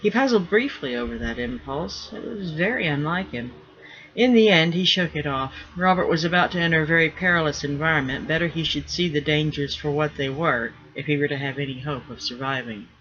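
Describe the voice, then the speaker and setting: Male author-narrator for fiction, sharp tone, slightly high pitch, lo-fi sound. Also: brisk. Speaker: author reading own novel. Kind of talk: scripted narration, fiction reading. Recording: dull lo-fi recording.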